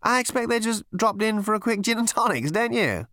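A man voicing a lazy, mild-mannered male captain who speaks gently and languidly. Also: sits high, lively intonation, a little fast, no echo, clean studio sound, voice pushed up. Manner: gentle, drawling.

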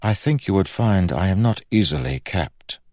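A man voicing a guarded, courteous young magician envoy in an audiobook, speaking in a measured, restrained and formal way. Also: deep voice, lively intonation, a little mellow, dry recording, clean studio sound, pitched above the performer's own voice. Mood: firm, polite. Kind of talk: audiobook character acting.

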